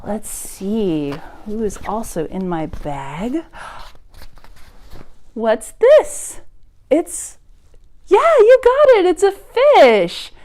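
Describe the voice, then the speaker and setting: A woman's voice: a little high, sing-song, drawn-out words, long pauses, dark tone. A woman hosting a preschool storytime, speaking straight to small children in simple, encouraging phrases with exaggerated sing-song emphasis. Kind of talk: preschool storytime read-aloud and chat to children.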